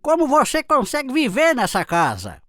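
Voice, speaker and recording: A woman's voice: high voice, wildly swooping pitch, normal speed, a bit bright, exaggerated voice. A woman with a Brazilian accent voicing a humble, friendly male country mouse in a children's cartoon fable. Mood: worried. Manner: friendly, earnest, exclamatory.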